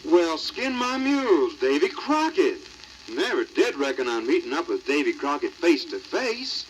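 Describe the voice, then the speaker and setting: Folksy, animated American backwoods frontiersman. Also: fairly high pitch, normal speed, rough recording. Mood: amiable. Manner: folksy drawling, unflappable good humor. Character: big, easygoing backwoods frontiersman in coonskin cap. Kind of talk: 1950s children's record voice acting. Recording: old 78rpm record, light hiss.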